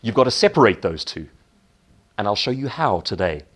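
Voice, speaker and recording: Animated male motivational keynote speaker. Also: on the low side, moderate pace. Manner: emphatic, rhetorical questions answered himself.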